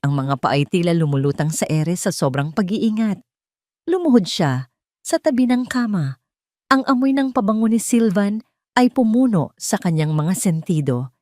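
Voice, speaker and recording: A woman narrating a dramatic suspense romance story from a script, with deliberate, theatrical phrasing. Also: fairly low pitch, warm tone, dry recording, studio quality.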